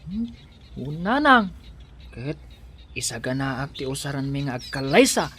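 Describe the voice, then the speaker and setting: A man's voice: mid-range voice, sing-song, a little slow, fairly bright tone, slight background noise. An actor with a Filipino accent playing a humble, devoted young suitor pleading his love in a radio drama. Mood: earnest. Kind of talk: Ilocano radio drama acting.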